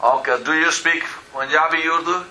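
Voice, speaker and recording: A man's voice: fairly high pitch, moderate pace, bright, forceful tone, poor audio. A man from Pakistan, preaching a sermon in church, earnest and full of "you know" and calls of "Amen?". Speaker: pastor.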